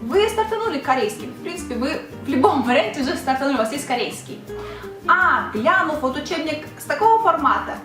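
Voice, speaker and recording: A woman's voice: sits high, roomy sound. A young Russian woman, a Korean language teacher, chatting casually in a video lesson and asking the viewer rhetorical questions.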